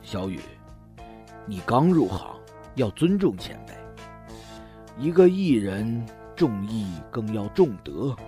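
男声演绎声音偏低、严厉的艺人部男上司. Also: male voice, fairly low pitch, animated, mellow, soft background music. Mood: stern, disapproving. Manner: admonishing, deliberate. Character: stern male talent manager lecturing a newcomer. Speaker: voice actor. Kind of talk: amateur Chinese radio drama acting.

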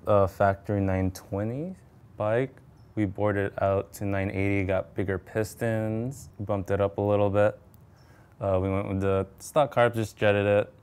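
A young man giving a casual, matter-of-fact technical walkthrough as a bike builder, in a halting way. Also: fairly low pitch, a little mellow, clean tone. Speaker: custom motorcycle builder. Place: home garage with door open.

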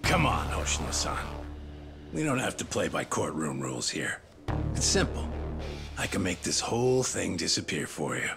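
Gravelly, smug extortionist detective. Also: male voice, low voice, very animated, moderate pace, music in the background, full character voice. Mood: cajoling. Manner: mocking, smug, slangy. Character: sleazy middle-aged male private detective running extortion. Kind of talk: video game cutscene acting, English dub.

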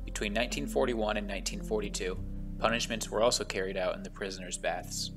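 A man reading a measured, solemn voice-over narration for a travel vlog walking tour. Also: lowish voice, fairly even intonation, music in the background.

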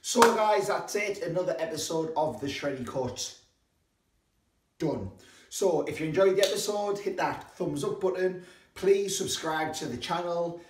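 A man's voice: fairly high pitch, roomy sound, distant mic, a little hiss or hum. A brash, jokey young man, vlogging casually into a phone camera at arm's length. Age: young adult. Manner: brash, jokey, swears freely. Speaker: vlogger and wrestler. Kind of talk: casual vlog chat. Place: home living room, also outdoors at night.

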